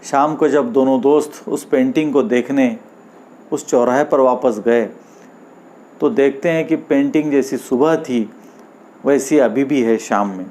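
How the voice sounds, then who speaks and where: Male storyteller for moral tales: medium pitch, unhurried, measured phrase-by-phrase read. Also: dark tone, a little hiss or hum. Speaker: storyteller and host. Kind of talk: scripted moral storytelling narration.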